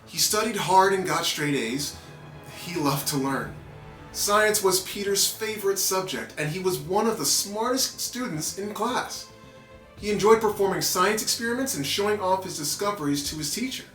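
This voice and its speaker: A man reading a children's story aloud in an animated narrating style with playful asides. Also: high-pitched, moderate pace. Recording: roomy echo with background music.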